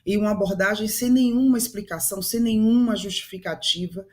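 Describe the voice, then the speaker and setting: A woman's voice: medium pitch, fairly quick. A woman from Salvador with a Bahian accent, commenting on a studio panel in an earnest, firm way with some hesitations. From Salvador, Bahia, Brazil. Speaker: businesswoman, commentator.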